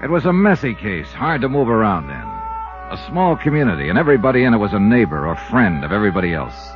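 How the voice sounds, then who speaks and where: A man's voice: lowish voice, sing-song, fast talker. An American man acting as a dogged, skeptical insurance investigator in a 1950s radio drama, with a clipped, hard-boiled delivery. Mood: brisk, wry. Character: dogged, skeptical male insurance investigator narrating his case. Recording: muffled 1950s radio recording with music.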